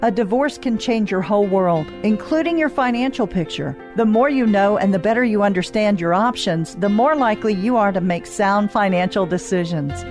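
A woman reading radio advertisements in a smooth, polished way. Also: medium pitch, animated, moderate pace, hardly any pauses. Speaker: ad announcer. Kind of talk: scripted radio ad read. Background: music bed.

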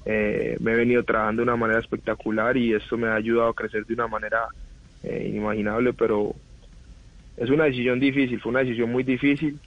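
A young man, giving thoughtful radio interview answers with many pauses. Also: medium pitch, flat delivery, dull audio. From Colombia. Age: 20s. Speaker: footballer guest.

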